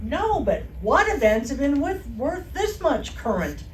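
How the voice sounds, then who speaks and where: A sharp-tongued radio-comedy wife acted by a woman, high, swooping and unhurried. She is heard from a distance in a roomy, noisy recording. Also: sing-song. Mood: sarcastic exasperation. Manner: sarcastic. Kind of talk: old-time radio comedy acting. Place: live theater stage performance.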